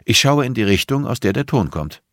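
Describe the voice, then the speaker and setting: A man's voice: medium pitch, sing-song, fairly quick, a bit bright, dry recording, clean studio sound, pitched above the performer's own voice. Man playing composed, ironic blind teacher. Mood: calm, reflective. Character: blind middle-aged male teacher, composed, patient, quietly ironic. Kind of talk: audiobook character dialogue.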